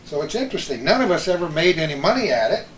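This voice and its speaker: A man's voice: fairly high pitch, big pitch swings, fast talker, crowd noise. An older American man, a radio veteran hosting a reminiscing conversation with colleagues. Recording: roomy echo with background hiss.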